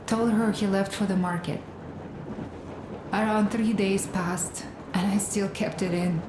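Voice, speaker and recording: A woman playing a weary, grieving mother in a video game cutscene, telling her story in a halting, matter-of-fact way. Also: mid-range voice, fairly even intonation, lightly acted. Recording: roomy sound with clear ambient noise.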